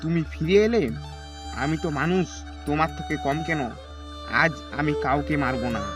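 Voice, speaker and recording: A man's voice: on the high side, moderate pace, over music, natural voice. A man playing a weary forest hunter in a cartoon, speaking in a dramatic, declamatory way. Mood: humbled, amazed. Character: weary, worried male forest hunter who turns merciful. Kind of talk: cartoon voice acting.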